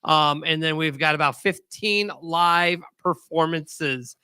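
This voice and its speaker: A man's voice: a little high, slow pace, pushed and bright, clean tone, no room sound. A man who works in radio, hosting a casual chat show in an upbeat way. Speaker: radio host.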